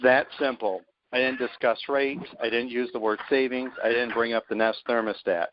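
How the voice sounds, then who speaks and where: A man's voice: medium pitch, fairly even intonation, normal speed, a little hiss or hum. A man speaking as a sales team leader on a conference call, confident and emphatic, punching his points. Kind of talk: motivational sales pitch on a call. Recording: dull lo-fi conference phone line.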